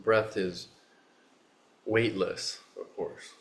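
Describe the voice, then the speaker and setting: A man leading a guided meditation as a teacher, speaking in a soothing, unhurried manner with long pauses. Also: fairly low pitch, fairly even intonation. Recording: roomy, echoing space.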